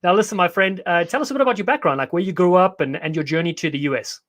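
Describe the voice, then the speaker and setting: An upbeat, chatty man hosting a live show, with a fairly high voice. He keeps the banter light and friendly as he puts questions to his guests. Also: expressive, very fast, fairly bright tone. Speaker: host. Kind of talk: casual live show interview.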